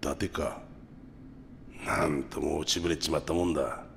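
An actor playing an imposing older underworld boss in a video game drama, commanding and rough in his deliberate speech. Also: a bass voice, steady tone, fairly bright tone, slight background noise, full character voice. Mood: proud, showing off. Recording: long cavernous reverb, music underneath.

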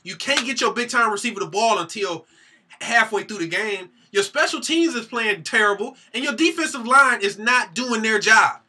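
A man's voice: sits high, lively intonation, moderate pace, pushed and bright, slightly rough. A young man from Detroit hosting a sports vlog, reviewing a game to his webcam in a heated, slangy, incredulous way. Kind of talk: animated sports rant to webcam. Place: small room with a team banner behind him.

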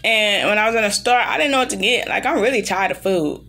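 A woman's voice: medium pitch, lively intonation, quick pace, very noisy. A woman in her thirties chatting casually and bluntly to camera in a rambling vlog, sounding irritated, recorded at home at arm's length. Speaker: vlogger. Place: room in an apartment.

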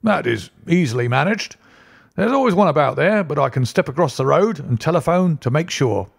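A man's voice: on the high side, lively intonation, a little fast, creaky, slightly rough, pitched above the performer's own voice, exaggerated voice. A man reading an audiobook, voicing a bluff, hearty middle-aged police inspector with an emphatic manner. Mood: businesslike.

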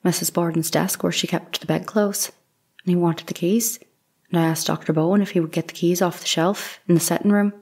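A woman's voice: lowish voice, steady tone, brisk, a little mellow, breathy edge. A woman playing a plain-spoken, deferential nineteenth-century housemaid giving matter-of-fact testimony in an audio courtroom drama. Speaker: actor playing a trial witness. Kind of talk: audio theatre courtroom reenactment.

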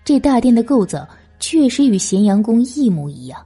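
Very mellow, husky woman narrator. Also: on the high side, sing-song, normal speed, soft background music. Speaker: audiobook narrator. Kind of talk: scripted novel narration.

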